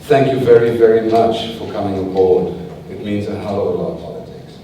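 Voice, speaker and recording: A man's voice: on the low side, brisk, warm tone, distant mic, slight background noise. Man hosting in an echoey hall. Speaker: conference host. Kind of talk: welcoming remarks. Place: large conference hall.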